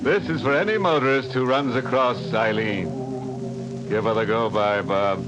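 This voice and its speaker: A man's voice: mid-range voice, normal speed, muffled recording, music in the background, natural voice. A man playing a wry horror-show host who delivers a closing moral with dry gallows humor. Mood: sardonic. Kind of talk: 1940s radio acting.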